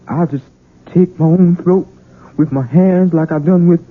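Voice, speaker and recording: A high, husky-voiced simple country son, gentle and troubled. He speaks slowly and haltingly in a childlike drawl. It sounds like a muffled vintage radio play. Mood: anguished, brooding. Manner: halting, childlike, folksy speech. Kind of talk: 1970s radio drama acting.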